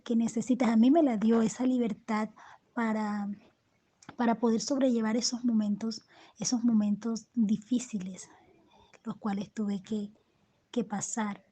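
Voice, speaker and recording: A Colombian woman poet giving reflective interview answers, halting and restarting often as she searches for words. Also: on the high side, dark tone. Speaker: poet and storyteller, interview guest.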